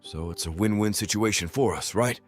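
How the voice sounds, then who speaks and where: A callous father who shrugs off his own son, played by a man talks in a lowish voice. His words come out blunt and dismissive. This is anime dub acting. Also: normal speed, soft background music, lightly acted. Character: cold, self-serving father who abandons his son.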